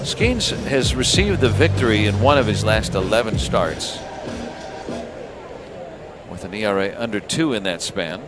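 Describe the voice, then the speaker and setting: An American man doing live radio play-by-play of a baseball game in short, measured phrases with asides between pitches. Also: lively intonation, music in the background. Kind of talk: live sports commentary with ad-libbed asides.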